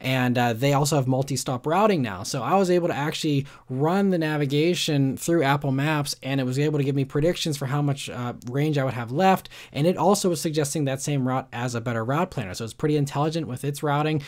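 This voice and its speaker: A man's voice: on the high side, quick pace, hardly any pauses. A man narrating his road trip in a casual vlog voice-over, rambling with lots of "you know" and "uh" fillers. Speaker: car reviewer.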